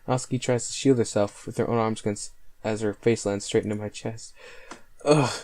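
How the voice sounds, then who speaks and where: A man's voice: fairly low pitch, steady tone, normal speed, a little mellow. A man reading visual novel narration aloud as host of a gameplay video. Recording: voice over faint game music. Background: faint music.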